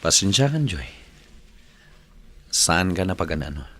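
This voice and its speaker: Cocky young playboy, swinging delivery. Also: male voice, sits low, sing-song, a little slow, a bit bright, slight background noise, full character voice. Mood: dismissive. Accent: Filipino, Ilocano. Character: cocky young playboy son, unrepentant and breezy. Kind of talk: Ilocano radio drama acting.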